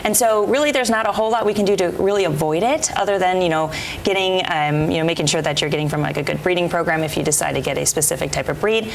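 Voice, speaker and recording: A woman's voice: fairly low pitch, quick pace, gravelly, a little hiss or hum. A woman speaking as a veterinarian guest in a TV interview, explaining things in a casual, conversational way.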